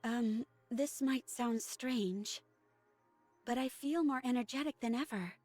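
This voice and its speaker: A female android soldier from a game drama, high in pitch and subdued. She speaks in measured, earnest lines weighed down by remorse. The voice is close and dry, with music underneath. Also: darkish tone, no room sound. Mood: reserved. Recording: music behind the voice.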